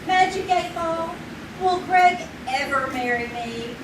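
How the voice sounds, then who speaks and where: A woman's voice: extremely high pitch, slow. A woman playing a dreamy, giggly young woman in a live old-time radio drama. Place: small theater stage, live. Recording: echoey stage from far off, noisy.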